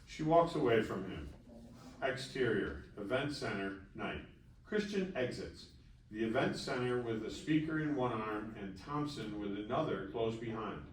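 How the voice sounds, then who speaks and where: A man's voice: fairly low pitch, lively intonation, a little slow. A man reading scripted stage directions as narrator at a live table read. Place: live event room before an audience. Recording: echoey room, distant mic, faint noise.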